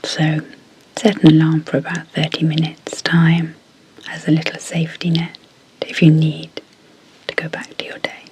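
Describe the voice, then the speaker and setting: A woman speaking in a soft, breathy whisper as an ASMR sleep hypnosis guide, deliberately and in short phrases with pauses. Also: sits low, monotone, slight background noise, poor audio. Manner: slow, deliberate, pausing between short phrases.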